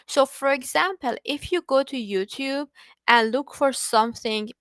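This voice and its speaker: A woman's voice: sits high, unhurried, a bit bright, no echo. Persian-native woman teaching a tech class. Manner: explains patiently with restarts and filler words. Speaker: study group presenter. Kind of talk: live online technical lecture.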